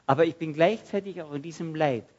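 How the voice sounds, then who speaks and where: A man preaching a sermon, swinging from loud outbursts to hushed, quiet passages and acting out prayers. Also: a little high, brisk, dull audio.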